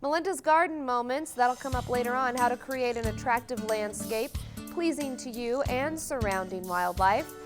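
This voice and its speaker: A woman's voice: a little high, moderate pace, music in the background. An American woman anchoring a morning newscast, reading scripted news in a TV studio.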